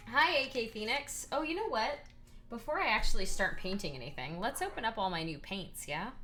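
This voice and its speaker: A hoarse woman streaming herself painting miniatures, chatting casually with many ums and reading chat aloud. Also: on the high side, lively intonation, fairly quick, sharp tone, soft background music. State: hoarse, voice partly lost.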